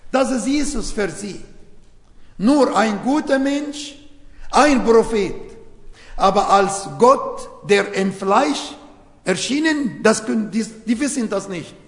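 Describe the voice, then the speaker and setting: A man's voice: sits high, expressive, slow. A man whose first language is Arabic, preaching a sermon in German with grammar slips and many rhetorical questions, recorded in a church hall. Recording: echoey hall with long reverb.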